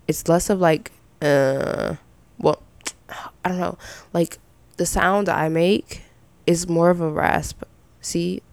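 A young woman with a slightly raspy voice and some vocal fry at sentence ends, chatting casually and giving her opinions as a podcast host. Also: on the low side, steady tone, slow pace, pauses often, no room sound. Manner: informal, rambling, opinionated. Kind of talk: casual chatty solo commentary.

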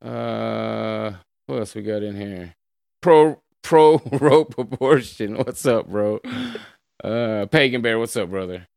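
A man hosting a livestream show, chatting casually with a co-host and reading out donations. He swears a lot, laughs often and trails off quietly at the end of sentences. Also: lowish voice, slow pace, vocal fry, clean tone, no echo, studio quality. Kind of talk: casual chat.

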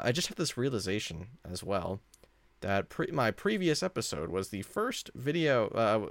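A man with a deep voice hosting a casual solo podcast, talking in a rambling, conversational and self-deprecating way. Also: creaky, dry recording. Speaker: podcast host.

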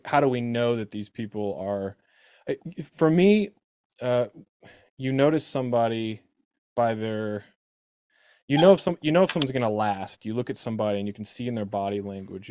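A man's voice: on the low side, darkish tone, clean tone, no echo, clean studio sound. A man hosting a podcast, asking long, rambling questions in casual conversation. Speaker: podcast host. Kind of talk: casual interview chat.

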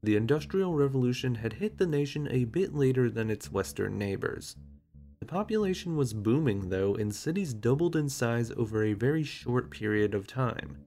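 Gravelly man narrating history over guitar. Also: medium pitch, lively intonation, moderate pace, warm tone. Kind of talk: scripted narration with casual asides.

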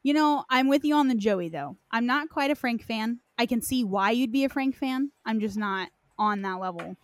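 A woman's voice: a little high, lively intonation, normal speed, no room sound. A woman hosting a podcast, moving between dramatic story reading and casual, playful chat. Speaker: podcast host. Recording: clearly noisy recording with clicks.

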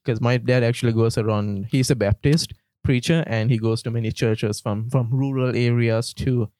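A man's voice: mid-range voice, moderate pace, no room sound. A young man from Sri Lanka, a university student co-hosting a casual faith podcast, talking easily with lots of "like". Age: young adult. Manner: relaxed, uses "like" a lot. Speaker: podcast co-host. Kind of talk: casual chat.

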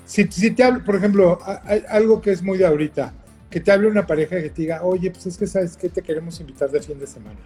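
A man's voice: sits high, quick pace, mellow, faint music behind. A man with a Mexican accent hosting a casual chat show, teasing and joking with slang. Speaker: host.

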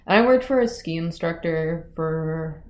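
A young woman, a climbing guide, talking quietly and casually in an interview, hedging with lots of fillers. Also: deep voice, lively intonation, measured pace. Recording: roomy echoey recording.

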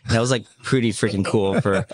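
A man in his thirties who grew up in Hong Kong, a podcast guest telling his life story in a casual, halting way, full of "um" and "you know". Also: on the low side, steady tone. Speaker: podcast guest, media entrepreneur.

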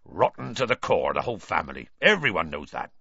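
Man voicing a huge gruff giant, blunt, low voice, dry muffled sound. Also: very animated, fairly quick, pushed and bright, no echo, heavily acted. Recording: dull lo-fi dry recording.

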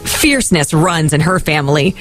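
A woman's voice: deep voice, expressive, moderate pace, faint music behind. A woman reporting for TV news in scripted, polished feature narration.

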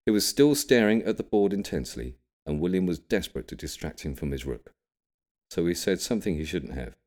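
A man's voice: low-pitched, sing-song, a little fast, a little mellow. A man reading a story as an audiobook narrator, in scripted narration.

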